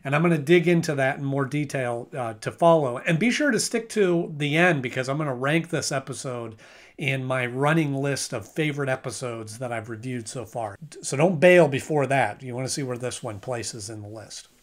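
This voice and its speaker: A man's voice: medium pitch, moderate pace. A man giving a casual video review of a cartoon episode, rambling and correcting himself with frequent restarts.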